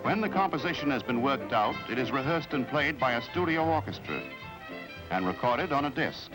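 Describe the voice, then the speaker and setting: A man with an American accent narrates a 1950s training film from a script in a formal, measured, explanatory way. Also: medium pitch, animated, low-quality recording. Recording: vintage 1950s film soundtrack with music.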